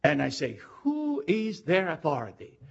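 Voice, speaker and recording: Animated Argentine-accented older male preacher. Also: a little high, unhurried, creaky, husky. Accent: Argentine Spanish. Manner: animated and emphatic, drawing out key words. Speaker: pastor. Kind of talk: sermon. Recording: dull lo-fi recording.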